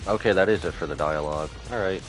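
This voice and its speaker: A man's voice: low voice, quick pace. A man giving casual, slangy live commentary as he streams a game, often muttering asides to himself. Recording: loud game music behind.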